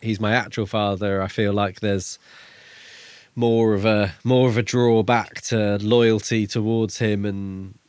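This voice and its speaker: A man co-hosting a podcast in casual book chat, phrasing his thoughts hesitantly with pauses. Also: lowish voice, little pitch movement, a little mellow, smooth, no room sound, clean studio sound. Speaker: podcast co-host.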